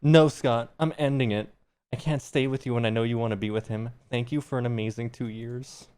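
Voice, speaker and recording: A man's voice: mid-range voice, steady tone, brisk, a little mellow, clean tone, lightly acted. A man voicing a heartbroken, jealous young woman in a dramatic, accusing reading of fan fiction. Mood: sad, resolute.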